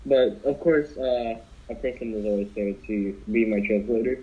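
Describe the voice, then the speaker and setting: A man's voice: mid-range voice, moderate pace. Young man rambling through a story. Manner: rambling, many fillers and restarts. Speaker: podcast co-host. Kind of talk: casual storytelling among friends. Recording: dull lo-fi podcast audio.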